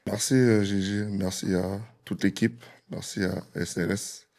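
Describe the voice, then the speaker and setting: A man from France, a guest DJ in an interview, relaxed and joking, with frequent fillers. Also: deep voice, unhurried, creaky.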